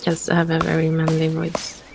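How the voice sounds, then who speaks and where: Female co-op partner, flat gravelly voice, short replies, noisy remote audio. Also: low voice, fairly quick. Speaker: co-op gaming partner. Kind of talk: casual gameplay chat.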